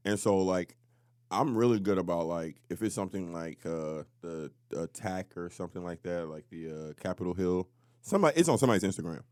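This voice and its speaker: A man speaks in a somewhat low voice in a casual podcast discussion. He is informal and halting, often searching for the word, and the recording is very dry. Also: animated, a little mellow, clear, resonant tone, no echo. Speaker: podcast co-host.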